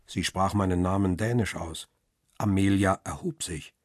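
An actor playing a photographer who tells his own story in a radio crime drama, measured and observant, with a rough, husky voice. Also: low-pitched, dry recording, clean studio sound. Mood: measured, reflective. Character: male photographer, husband, observant first-person narrator. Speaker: narrator and lead actor. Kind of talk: first-person radio crime drama narration.

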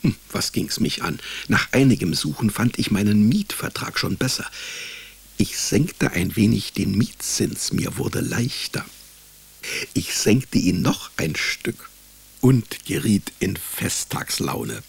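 A man's voice: on the low side, sing-song, takes it slowly, creaky, husky, heavily acted. An actor playing a dry, laconic male private detective narrating his own case in a radio drama. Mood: dry, pleased. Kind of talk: scripted first-person radio drama narration.

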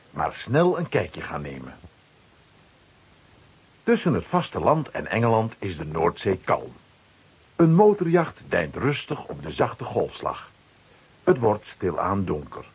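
A man narrating a scripted children's radio play as its storyteller, with a husky voice. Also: fairly low pitch.

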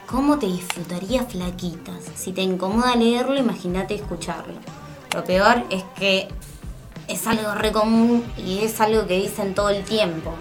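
A woman's voice: mid-range voice, moderate pace, over music, close to an everyday voice. A young woman with an Argentine accent playing a sympathetic, fed-up student talking colloquially in a radio drama. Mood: weary. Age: young adult. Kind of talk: naturalistic radio drama dialogue.

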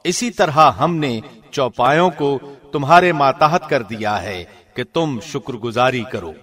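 A man reading a religious translation as scripted narration, in a measured, formal, declamatory radio style. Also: mid-range voice, lively intonation, a bit bright. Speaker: translation reader, radio host. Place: studio.

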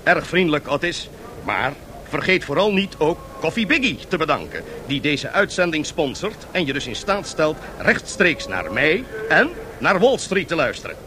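An actor playing an excitable, boosterish 1920s American stock-exchange radio reporter in a Dutch radio drama, theatrically addressing his listeners like a salesman. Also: on the high side, normal speed, background noise. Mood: jovial, salesmanlike. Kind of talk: 1970s Dutch radio drama, live broadcast commentary.

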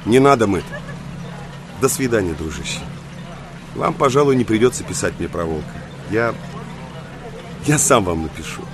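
An actor playing a young engineer, a troubled and emphatic master of a wolf, in an audio drama. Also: male voice, on the low side, expressive, moderate pace, pauses often. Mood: urgent. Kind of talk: Russian audio drama acting. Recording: faint vehicle and ambience noise.

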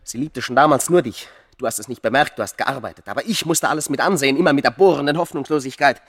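A bitter, hopelessly lovesick man of ancient Egypt, played by an actor in classic radio drama. His mid-pitched voice is brooding and emphatic, heard close and dry. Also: fast talker, no echo, natural voice. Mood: bitter despair.

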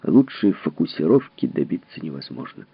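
Male reader doing an impatient young physicist, low gravelly voice, dry lo-fi. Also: big pitch swings, moderate pace, dry recording, full character voice. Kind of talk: audiobook reading. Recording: dull lo-fi recording.

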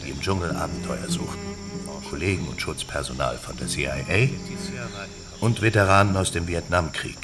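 An actor playing a grave, knowing padre in a radio drama, speaking in a measured, deliberate way. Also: male voice, low voice, music in the background, natural voice. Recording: clear insect-filled ambient noise behind voice.

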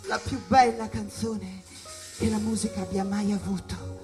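A tortured jazz musician, high voice, restless and theatrical, radio play with music behind. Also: male voice, flat delivery, normal speed. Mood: feverish recollection. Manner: theatrical, impassioned, repetitive monologue. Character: tormented, drug-worn male jazz musician, restless and defiant.

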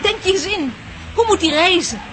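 An anxious, argumentative woman in a radio play. Her voice is high and swoops expressively, pressing each point emphatically. The recording has clear background noise. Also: expressive, normal speed. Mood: exasperated. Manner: exasperated, emphatic, repeats herself. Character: anxious, exasperated woman, quick to argue and speculate. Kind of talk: radio drama acting.

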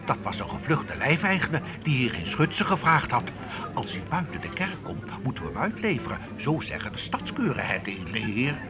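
A man's voice: medium pitch, very animated, fairly quick, loud music behind the voice, lightly acted. An actor in a Dutch radio play playing a simple, good-natured townsman who is easily impressed and stammers, repeating his words. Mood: amazed, doubtful. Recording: radio play with crowd chatter behind.

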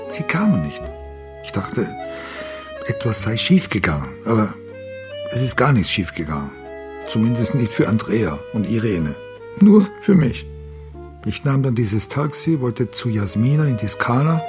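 An actor playing a brooding, obsessed man haunted by loss in a radio crime drama, speaking in a halting, bitter, reflective way. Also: mid-range voice, sing-song, music in the background, natural voice. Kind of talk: radio play dialogue.

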